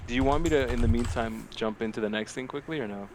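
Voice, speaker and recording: A man's voice: medium pitch, quick pace, a little hiss or hum. A man co-hosting a podcast, bantering casually about films and games in a joking, profane way. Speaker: podcast co-host.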